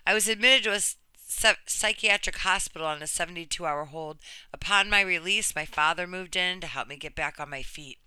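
A woman in her thirties narrates a first-person story from a script. Her voice is strikingly bright and clear, with crisp edges. She phrases things earnestly and deliberately, leaning on key words, and the sound is dry with no room around it. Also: fairly low pitch, no echo. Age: 30s.

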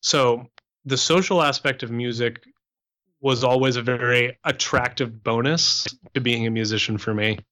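A man's voice: medium pitch, moderate pace. A young man from Canada speaking as an interview guest, explaining his life as a musician in a conversational, sociable way. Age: young adult. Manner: animated explaining, sociable extrovert.

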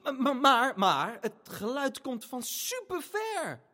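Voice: sits high, sing-song, slow, sharp tone, full character voice. An actor playing a hesitant, easily discouraged child who also narrates a family radio play, faltering and repeating words. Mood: doubtful.

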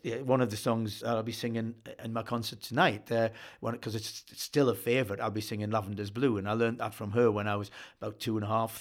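An older man, reminiscing in an interview and often repeating words as he tells his stories. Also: lowish voice. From Northeast England. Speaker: folk singer of sea shanties.

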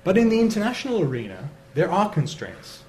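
A man giving an analytical academic talk, measured and steady, with fillers. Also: high voice, crowd noise. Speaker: lecturer on Iran. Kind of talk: academic lecture answer. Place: lecture hall. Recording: roomy hall, faint noise.

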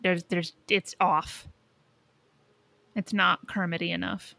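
Female co-host, crisp bright edge, fry-laden, emphatic casual chat, very dry recording. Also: fairly low pitch, flat delivery, takes it slowly, dry recording. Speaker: podcast co-host.

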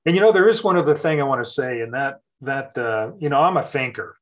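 A middle-aged man with a mid-range voice talks through scripture in a relaxed conversation. He is thoughtful and circles back on himself, with plenty of fillers and restarts. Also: mid-range voice, fast. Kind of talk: casual faith conversation.

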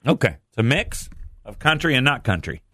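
A man's voice: mid-range voice, sing-song, a little fast, bright, forceful tone. A man hosting a radio show with casual, playful, teasing banter.

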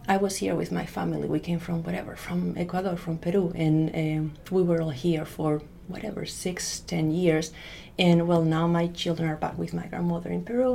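A woman's voice: on the low side, vocal fry, warm tone, slight background noise. A Spanish-accented woman answering interview questions as a literature professor, thoughtful and hesitant with many fillers and restarts. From Spain.

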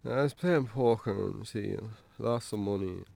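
An actor playing a troubled, hard-drinking Irish farmer in a naturalistic radio drama, halting and sweary. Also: male voice, on the low side, vocal fry, dark tone, no room sound. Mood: awkward, pleading.

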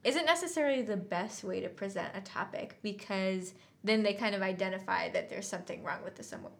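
Female podcast writer in casual discussion; reflective explainer with a crisp, bright edge. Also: medium pitch, fairly quick. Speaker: podcast co-host and story writer.

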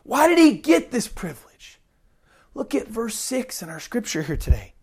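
A woman's voice: a little high, very animated, moderate pace, breathy edge. A woman preaching an emphatic sermon while recovering from a cold and fighting to keep her voice. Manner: emphatic, repeats key points, swings between loud stresses and soft drops.

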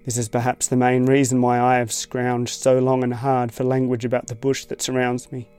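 A man from Tasmania with an Australian accent, reading a reflective scripted essay in a measured, thoughtful way. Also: medium pitch, clear, resonant tone. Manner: measured, thoughtful, even-toned. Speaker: essay narrator.

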